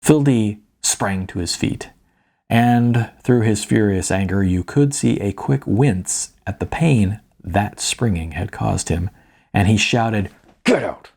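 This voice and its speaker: A man reading his own fiction audiobook in a dramatic, theatrical style with deliberate, suspenseful pauses. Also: fairly low pitch, gravelly, darkish tone. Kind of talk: dramatic scripted narration.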